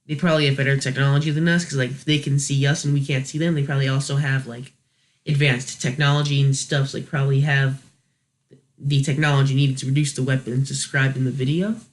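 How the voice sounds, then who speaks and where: A man's voice: medium pitch, level tone, quick pace. A man hosting a review video with casual, unscripted commentary, hesitant and thinking aloud as he corrects himself. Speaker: host of a video review series.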